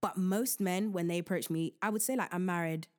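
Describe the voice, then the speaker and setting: Animated, joking woman podcast host. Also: fairly low pitch, fairly quick. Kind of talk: casual advice talk.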